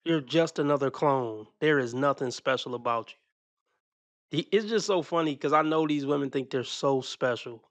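A man's voice: mid-range voice, normal speed, a bit bright, dry recording. A man giving blunt, mocking reaction commentary on video clips.

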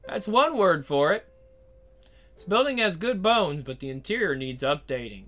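A man voicing a gruff, proud older bar owner who talks loud and blunt, reading a visual novel. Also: high-pitched, big pitch swings, normal speed.

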